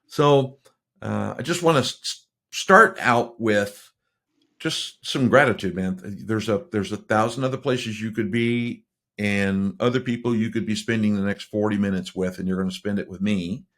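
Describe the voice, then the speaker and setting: A man's voice: on the low side, normal speed, a bit bright. A man hosting a podcast interview, talking in a rambling, friendly way with many fillers and restarts. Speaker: podcast host. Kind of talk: casual interview chat.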